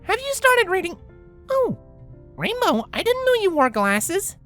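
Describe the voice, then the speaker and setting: A woman's voice: very high-pitched, big pitch swings, measured pace, fairly bright tone, faint music behind, exaggerated voice. A woman voicing a bookish young alicorn mare in a story, emphatic and knowing. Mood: excited.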